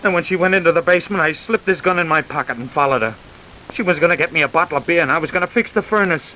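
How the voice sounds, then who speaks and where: An actor playing a broken, self-loathing American murder suspect in an old-time radio crime drama, plain-spoken and downcast. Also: male voice, fairly high pitch, rapid-fire, pushed and bright, rough recording. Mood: shaken, confessing. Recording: hissy old radio recording.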